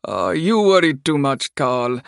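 A man's voice: high voice, vocal fry, fairly bright tone, no echo, clean studio sound, heavily acted. A man voicing a pompous, naive young princely nobleman in an audiobook, boastful and haughty, prone to faltering.

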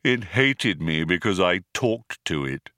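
A man voicing a gloomy, self-pitying robot in an audiobook, with a muffled, monotone drone and a slow, dejected, resigned delivery. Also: sits low, no echo, studio quality, voice pushed down, heavily acted. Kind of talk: audiobook character voice.

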